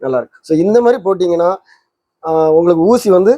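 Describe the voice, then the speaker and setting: Guy doing a casual how-to, talks really quick, kinda mellow tone, walks you through it. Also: high-pitched, lively intonation, dry recording, studio quality. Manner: explains step by step, addressing viewers directly. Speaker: presenter of a record player tutorial channel. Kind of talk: informal hands-on demonstration.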